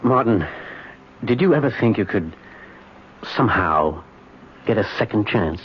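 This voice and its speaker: A man's voice: lowish voice, animated, measured pace, slightly rough. An actor playing a weary, evasive middle-aged businessman in an old-time radio drama, speaking in a hesitant, pleading way. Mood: wistful, halting. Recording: muffled, noisy vintage radio recording.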